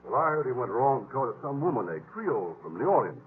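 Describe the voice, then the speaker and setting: An actor playing a chatty, eager, polite traveler in a 1950s western radio drama. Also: male voice, mid-range voice, very animated, quick pace, slight background noise, lightly acted. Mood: inquisitive. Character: chatty, eager, inquisitive gentleman traveler on a frontier stagecoach. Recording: muffled vintage radio recording.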